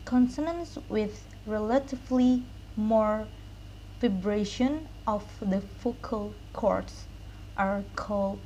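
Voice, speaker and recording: Slow, halting young woman reading aloud. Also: mid-range voice, dark tone, lo-fi sound. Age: young adult. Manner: halting, reading in short phrases. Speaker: student presenter. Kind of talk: read-aloud lesson presentation. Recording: noticeable background noise.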